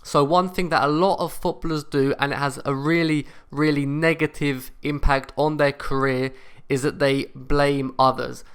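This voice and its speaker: A young man hosting a football channel, giving direct, steady motivational advice to camera. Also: mid-range voice, smooth. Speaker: football career coach and channel host.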